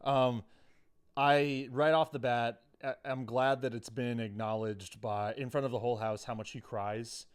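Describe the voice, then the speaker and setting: A man's voice: medium pitch, fairly even intonation, unhurried, smooth. A man co-hosting a recap podcast in casual chat, with wry, sweary banter. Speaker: podcast co-host. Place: studio.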